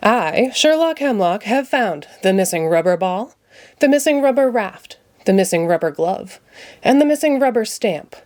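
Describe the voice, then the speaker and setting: A woman voicing a pompous, excitable male puppet detective from a children's storybook, with grandiose announcements. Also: medium pitch, normal speed, no room sound, lightly acted. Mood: triumphant.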